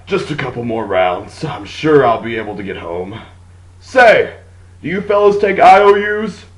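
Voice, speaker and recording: A man's voice: mid-range voice, sing-song, a little slow. Guy doing smug russian writer who gambles, medium voice, comedy puppet show. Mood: wheedling. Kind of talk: comic scripted puppet show.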